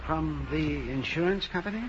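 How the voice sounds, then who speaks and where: An American actor playing a nervous, genteel, middle-aged club owner in a 1950s radio drama, hesitant and fussy. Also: male voice, a little high, normal speed, lightly acted. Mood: flustered, polite. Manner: hesitant, flustered, fussy, swooping emphasis. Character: nervous, genteel older male club owner, evasive and prim. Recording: muffled, hissy 1950s radio recording.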